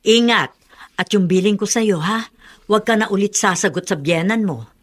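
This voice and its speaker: A woman playing a caring middle-aged mother in a Filipino radio drama, gently admonishing. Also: medium pitch, moderate pace, close to an everyday voice. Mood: advising.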